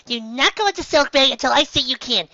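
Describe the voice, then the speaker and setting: A woman acts out a little male reindeer doctor from a cartoon, squeaky and very high. He is earnest and bossy, handing out instructions in a bright, forceful tone. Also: expressive, fast talker, no echo, lo-fi sound, heavily acted. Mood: earnest, commanding.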